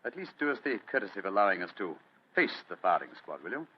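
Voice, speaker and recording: An actor playing an aging, cool, quick-witted English detective with a clipped, dry manner, in a radio mystery. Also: male voice, fairly low pitch, big pitch swings, a little hiss or hum. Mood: feigned resignation. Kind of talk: 1940s radio mystery acting. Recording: dull, muffled old radio recording.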